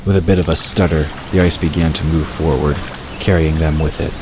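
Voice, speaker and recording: Male narrator, extremely deep, measured storytelling; hissy recording. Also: fairly even intonation. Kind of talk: scripted audiobook narration. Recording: hissy recording with bird ambience.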